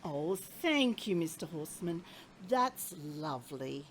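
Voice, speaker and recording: A woman's voice: low-pitched, sing-song, slow pace, a little hiss or hum, full character voice. A woman playing a kindly, obliging customer in a radio play, gracious and reassuring in manner. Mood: grateful.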